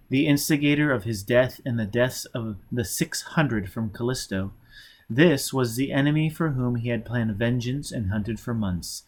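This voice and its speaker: A man reading a novel aloud as dramatic scripted narration. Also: mid-range voice. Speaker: audiobook reader.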